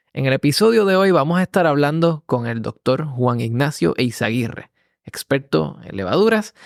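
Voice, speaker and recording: A man's voice: mid-range voice, vocal fry, no echo, clean studio sound. A man from Rico, hosting a podcast and introducing an interview. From Puerto Rico. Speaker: podcast host.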